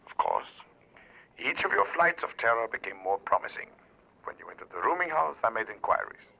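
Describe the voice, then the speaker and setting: An actor playing a sly, menacing stage mind-reader in florid, mocking 1940s radio style. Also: male voice, mid-range voice, sing-song, brisk, gravelly, bright, forceful tone, husky, heavily acted, low-quality recording. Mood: sly menace. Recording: old 1940s radio broadcast.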